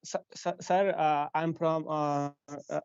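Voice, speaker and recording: Brief: young man from Bihar, Indian English accent, halting and hesitant, smooth but dull, dry lo-fi audio. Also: a little high, fairly even intonation, no room sound. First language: Bhojpuri or Hindi. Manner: hesitant, halting, many "uh" and "sir". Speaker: student.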